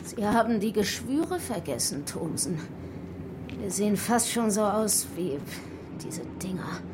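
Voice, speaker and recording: A woman's voice: mid-range voice, very animated, a little slow, background noise. An actress playing a callous, starving female castaway in a radio horror drama, with a sardonic, curt and cynical way of talking.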